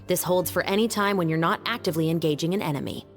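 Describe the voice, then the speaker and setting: A woman voicing a disciplined, serious officer and weapons expert in an anime-style video game, firm, measured and instructive. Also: lowish voice, faint music behind, lightly acted. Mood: instructing.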